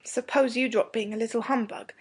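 Woman as a high-pitched, blunt British schoolboy. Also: brisk, lightly acted. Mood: gruff. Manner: snappy, blunt. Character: grumpy, blunt, hot-tempered English schoolboy.

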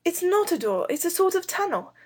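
An adventurous young storybook boy, acted out by a woman narrator, eager and excitable. The pitch is high, and he exclaims with urgency. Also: normal speed. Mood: excited.

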